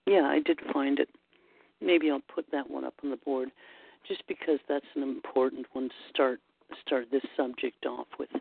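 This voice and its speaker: A woman hosting an informal Bible study chat, unhurried and thinking aloud with frequent pauses and ums. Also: a bass voice, steady tone, creaky, husky, dry recording. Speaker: host. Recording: dry, tinny phone-like line.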